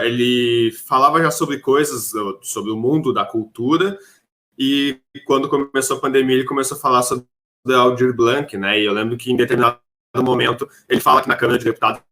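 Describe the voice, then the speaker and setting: Entrevistador de voz brilhante, sotaque sulista. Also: male voice, mid-range voice, sharp tone. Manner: rambling, piecemeal questions. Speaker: podcast interviewer. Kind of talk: informal interview questioning.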